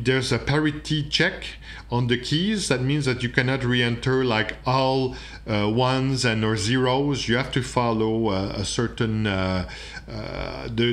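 A man with a medium-pitched voice talks through a hands-on demonstration. He explains things in an unscripted, halting way, as a presenter teaching from behind the camera. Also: a bit bright.

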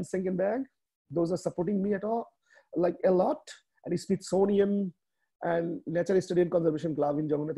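A man in his thirties from Bangladesh, a native Bengali speaker with a Bangladeshi accent, giving a slide presentation on a video call, halting with many fillers and restarts. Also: a little high, dark tone, slightly rough. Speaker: webinar speaker. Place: small room indoors.

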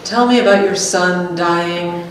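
A woman's voice: lowish voice, takes it slowly. A middle-aged woman giving a staged reading of a two-person script, playing a wry, anxious character. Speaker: performer reading a scripted dialogue. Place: echoey gallery room with hard walls. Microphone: stand microphone. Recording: echoey room, distant, faint noise.

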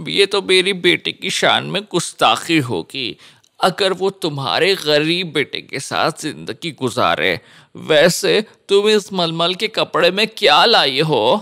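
An actor playing a haughty old Sultan, regal and theatrical, in a children's fairy-tale cartoon. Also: male voice, a little high, very animated, gravelly, pushed and bright, heavily acted. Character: imposing Sultan, haughty old father easily impressed.

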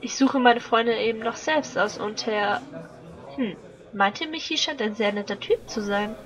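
Woman playing a higher-voiced confident socialite. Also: moderate pace, soft background music, lightly acted. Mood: annoyed. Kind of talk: German amateur audio drama dialogue.

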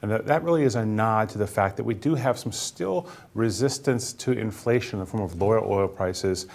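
Fast-talking, low-voiced man, market strategist. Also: gravelly, a little mellow. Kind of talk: panel interview commentary. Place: TV news studio. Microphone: lapel mic.

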